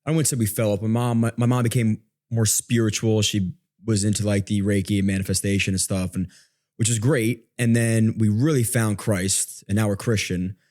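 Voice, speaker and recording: A man's voice: lowish voice, flat delivery, normal speed, a little mellow. A man chatting casually on a podcast as a guest, asking questions and explaining his own thinking as he goes. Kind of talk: casual, inquisitive conversation.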